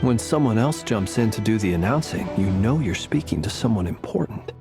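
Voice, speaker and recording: A man voicing a formal, smoothly polite male character with a wry edge, in video game dialogue. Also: fairly low pitch, animated, fairly quick. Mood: suave. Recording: game audio with soft music behind.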